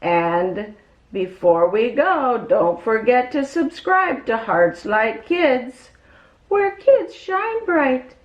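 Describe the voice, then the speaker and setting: A woman acts as a cheerful, kind children's show character with a fairly high voice. The delivery is earnest, simple and unhurried, aimed at small kids. The recording is dull and echoey. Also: big pitch swings, heavily acted. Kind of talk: scripted kids' show dialogue.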